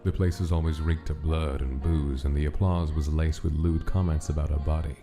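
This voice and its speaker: A man's voice: rumbling low, steady tone, moderate pace. A man reading scripted story narration as the narrator of a drama. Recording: soft music under narration. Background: background music.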